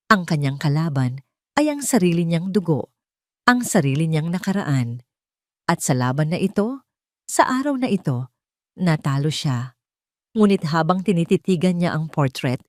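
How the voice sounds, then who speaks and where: A woman's voice: on the low side, no echo, clean studio sound. A woman with a Filipino accent narrating a dramatic story in a solemn, emotive, scripted style.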